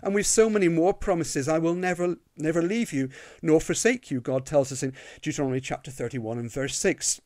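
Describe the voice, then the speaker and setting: Northern irish guy preaching, earnest, keeps repeating words as he starts, kinda high voice. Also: a little fast, a little mellow. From Northern Ireland. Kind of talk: sermon.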